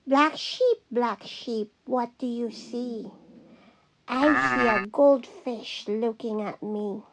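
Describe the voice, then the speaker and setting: A woman reading a children's picture book aloud as a teacher, in a deliberate, singsong, repetitive way. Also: on the high side, slight background noise, low-quality recording. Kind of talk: slow children's read-aloud.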